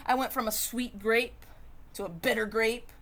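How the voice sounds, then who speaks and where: A woman reading a children's storybook aloud in an expressive, dramatic way, with varied emphasis and pauses. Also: a little high. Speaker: storybook reader.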